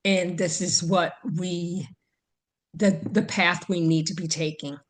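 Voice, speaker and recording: A woman co-hosting a podcast, speaking thoughtfully and hesitantly with frequent pauses. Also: fairly low pitch, steady tone. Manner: slow, thoughtful, hesitant with many ums. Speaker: podcast co-host. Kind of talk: casual chat.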